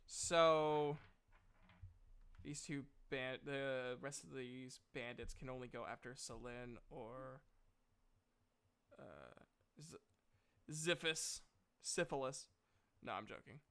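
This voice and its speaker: A man's voice: mid-range voice, takes it slowly, pauses often, fairly bright tone, clean tone. A man narrating a tabletop game as game master, casual and improvised, with joking, profane asides. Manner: jokey, profane.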